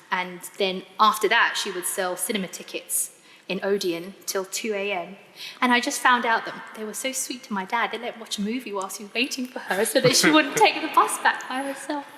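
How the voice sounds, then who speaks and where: A woman's voice: mid-range voice, bright, forceful tone. A woman with a British accent telling her personal story as a guest in a live interview. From United Kingdom. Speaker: interview guest giving testimony. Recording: echoey hall, clear background noise.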